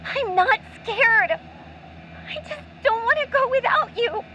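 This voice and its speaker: A woman's voice: very high voice, animated, slow pace, full character voice. A woman playing a plucky young girl, a tough big sister, earnest and childlike in a radio play. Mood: frightened, pleading. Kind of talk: audio drama acting. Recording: muffled, faint background noise.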